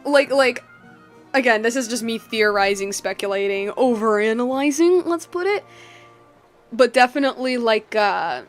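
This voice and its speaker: A young woman streams a game in a fairly high voice, with soft music behind her. She comments casually and at length, rambling and getting excited. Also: normal speed. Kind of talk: casual live gameplay commentary.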